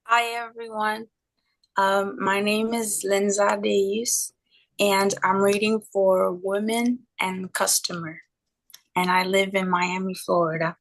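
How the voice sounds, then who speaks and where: Slow, even-toned woman introducing herself. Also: mid-range voice, no echo. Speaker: actor at a table read. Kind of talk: casual self-introduction. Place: online video call.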